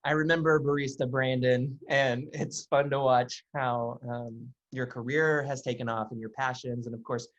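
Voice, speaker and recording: A man's voice: medium pitch, little pitch movement, normal speed, hardly any pauses. A man hosting a podcast, keeping his turns brief and friendly as he thanks the guests and hands the turn over. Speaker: podcast host. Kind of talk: hosting a group conversation. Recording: dull lo-fi sound.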